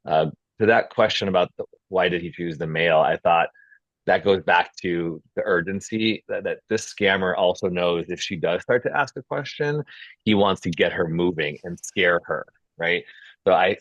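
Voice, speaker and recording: Man, low voice, casual discussion host, slightly dull lo-fi sound. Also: normal speed, a bit bright. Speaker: host of script feedback session. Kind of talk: discussion and feedback, casual talk. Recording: dull, roomy call audio.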